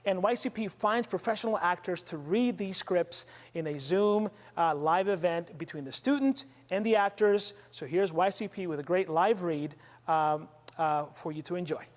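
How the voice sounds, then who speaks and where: A woman's voice: fairly low pitch, moderate pace. A woman presenting at an event, giving a semi-scripted introduction, with long reverberation on the voice.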